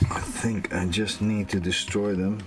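A man in his fifties casually talking through a motor repair at a small workbench, thinking aloud as he works. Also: on the low side. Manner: slow, relaxed, thinking aloud while working with his hands. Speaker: sailing vlogger repairing boat gear. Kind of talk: casual how-to narration. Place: small workshop bench aboard a boat.

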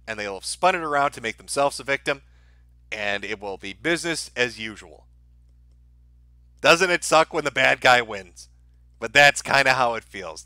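A man's voice: medium pitch, sharp tone, no room sound. A man giving casual livestream commentary as host, with a sardonic, mocking and profane way of talking.

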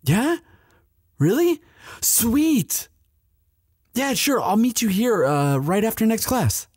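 A man voices a young male demon student, sweet and protective, in an intimate audio roleplay. His voice is soft-edged and husky, with lively pitch movement. It is recorded dry and close. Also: slow pace, dry recording, full character voice. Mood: flustered, smitten. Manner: hesitant stammering when shy, firm when angry.

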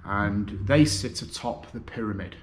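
A man in his fifties with a Lancashire accent, giving a personal video statement from his living room, earnest and halting with many fillers. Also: on the low side. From North West England. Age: 50s. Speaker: video contributor. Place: living room, seated on a sofa.

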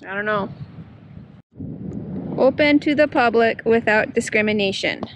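A woman in her thirties chatting casually as she hosts an outdoor vlog on a handheld camera. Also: unhurried. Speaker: vlog host. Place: outdoors on trails and roadsides. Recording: noisy outdoor recording with ambient rumble.

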